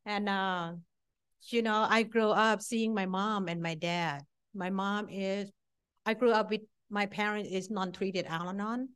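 A woman's voice: mid-range voice, fairly even intonation, measured pace, a bit bright, smooth. A middle-aged woman from Thailand with a Thai accent, giving a blunt, self-deprecating personal testimony at a meeting in short punchy phrases. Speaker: speaker at a support-group meeting.

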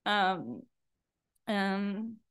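A woman's voice: mid-range voice, very animated, very slow, a bit bright, smooth. A young adult woman giving an informal personal testimony at a support-group meeting, candid and conversational, often saying "like".

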